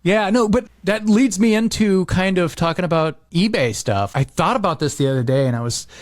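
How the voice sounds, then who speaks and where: A man's voice: high-pitched, animated, fairly quick. A middle-aged man hosting a podcast in a casual, slangy, chatty way. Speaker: podcast host.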